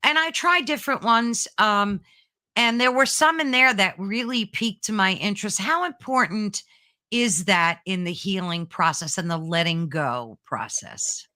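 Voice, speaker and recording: A woman hosting an interview, effusive and quick to agree and praise. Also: medium pitch, bright, forceful tone, no room sound, clean studio sound. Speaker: host. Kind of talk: interview chat.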